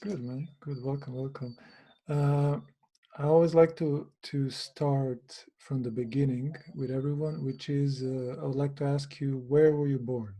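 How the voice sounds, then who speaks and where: A man's voice: mid-range voice, fairly even intonation, a little slow, dark tone. A man hosting a podcast interview, chatting in a friendly way and often restarting his sentences. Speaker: podcast host. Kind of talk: casual interview conversation.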